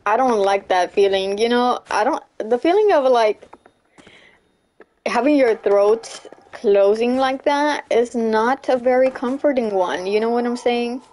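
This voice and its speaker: Young female streamer, slightly high voice, casual, chatty, quick to laugh. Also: darkish tone. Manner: chatty, laughs often, repeats "you know what I'm saying". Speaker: livestreamer. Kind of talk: casual chat while gaming, reading chat.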